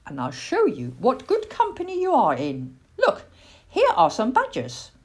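A woman voicing a sweet, kindly young lady in a children's story, speaking gently and politely. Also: fairly high pitch, wildly swooping pitch, measured pace, breathy edge, voice pushed up, heavily acted.

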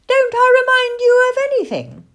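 A woman voicing an excitable, chatty young baby owl in an eager, childlike way for a storybook. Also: very high voice, normal speed, voice pushed up, heavily acted. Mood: eager, indignant.

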